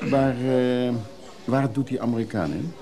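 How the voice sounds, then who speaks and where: An actor playing a gruff, guarded and resentful male antique dealer in a radio drama, curt and defensive. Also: medium pitch, unhurried, a crowd in the background. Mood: guarded, irritated. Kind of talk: radio drama acting, dialogue.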